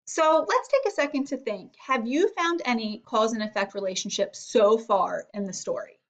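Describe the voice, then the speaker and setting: A woman with a fairly high voice reads to children as their teacher. She narrates the storybook and explains it in an instructive, questioning manner. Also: expressive, moderate pace. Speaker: teacher reading aloud. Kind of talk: storybook read-aloud with guided lesson.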